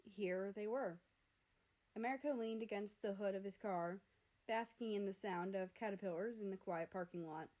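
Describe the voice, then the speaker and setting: A woman's voice: medium pitch, normal speed, darkish tone, poor audio. A young adult woman reading scripted fiction aloud as a story narrator. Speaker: story reader.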